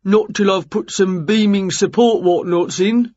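A woman's voice: medium pitch, unhurried, mellow, no echo, highs cut off, close to an everyday voice. A woman voicing a casual working man, a matey builder in a children's story, with colloquial, offhand talk. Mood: sheepish.

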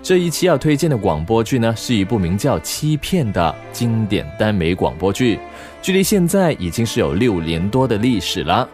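Animated low-voiced man presenting. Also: moderate pace. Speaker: host of an audio drama recommendation show. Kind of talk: scripted presenting. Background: background music.

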